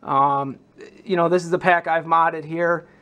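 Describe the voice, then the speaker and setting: Higher-pitched man, casual hobby vlogger. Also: fairly even intonation, a little slow, pushed and bright. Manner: informal, enthusiastic. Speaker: prop modder presenting a demonstration. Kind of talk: casual explanatory hobby vlog.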